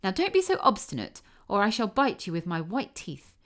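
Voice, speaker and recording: A woman voicing a bossy female field mouse in a storybook, scolding briskly and insistently. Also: mid-range voice, very animated, fairly bright tone.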